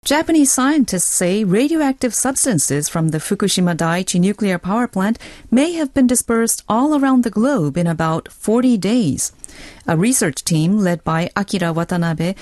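A woman's voice: medium pitch, darkish tone, no room sound, clean studio sound. A woman working as a news reader, delivering a scripted radio bulletin.

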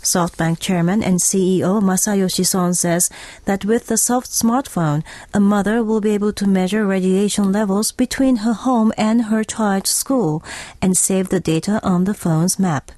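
Mellow-voiced woman reading radio news. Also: mid-range voice, moderate pace, no room sound. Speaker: news reader. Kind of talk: scripted radio news report.

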